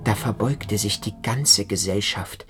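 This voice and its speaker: Male narrator for first-person literary radio drama, music clearly behind. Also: fairly low pitch, a little slow, fairly bright tone.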